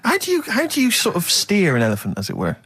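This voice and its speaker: Fast-talking, higher-pitched man. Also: huge pitch swings, a bit bright, husky, dry recording. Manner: mock-earnest questioning. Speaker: comedy radio co-host. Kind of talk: casual comic chat. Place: radio studio.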